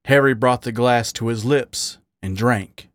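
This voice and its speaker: A man narrating an adult story in a measured, expressive reading on a close mic. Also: medium pitch, no room sound. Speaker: story reader.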